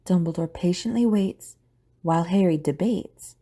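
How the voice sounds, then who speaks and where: A woman's voice: fairly low pitch, moderate pace, dark tone, breathy edge. A woman narrating an audio drama from a script as a storyteller.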